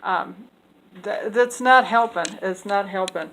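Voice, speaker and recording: Woman talking thru bible verses, lots of ums, earnest and opinionated, mid pitch. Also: slow pace, a bit bright, a little hiss or hum, rough recording. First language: English. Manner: hesitant, many fillers and restarts. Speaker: speaker explaining Bible passages. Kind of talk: unscripted talk on scripture.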